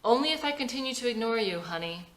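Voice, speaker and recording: A woman's voice: on the high side, lively intonation, quick pace, pitched above the performer's own voice, lightly acted. A woman voicing a sober, dismissive doctor husband with a dry, matter-of-fact manner in a drama script reading. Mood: brisk.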